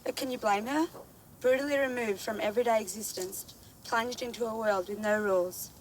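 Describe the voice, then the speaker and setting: A woman's voice: a little high, fairly even intonation, a little fast, slight background noise, low-quality recording. Woman, solemn reading, faint ambience. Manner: solemn, rhetorical. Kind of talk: prepared memorial reading.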